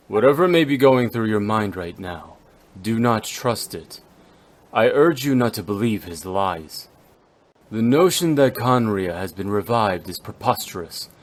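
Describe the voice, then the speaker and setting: A man playing a stern, brooding immortal swordsman in an anime-style game, speaking formally and gravely. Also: lowish voice, lively intonation, unhurried. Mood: stern, insistent.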